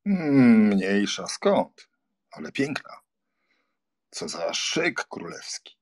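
A man's voice: on the low side, sing-song, exaggerated voice. A man playing a sardonic aristocratic clubman in a dramatized audiobook, offhand and drawling. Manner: slow, offhand muttering out of the side of the mouth.

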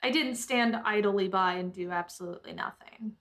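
A woman's voice: mid-range voice, normal speed, fairly bright tone. A woman playing a tabletop role-playing game, answering in casual in-game conversation.

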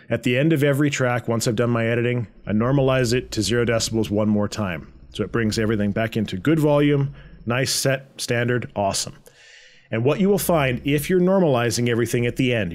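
A man presenting a software tutorial in a conversational, explanatory way with a medium-pitched voice. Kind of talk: informal software tutorial narration.

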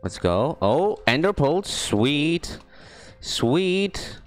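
A young man casually talking to himself while streaming a video game, rambling and joking, with high yelps in fights. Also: sing-song, extremely slow, faint music behind. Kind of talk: casual gaming stream commentary.

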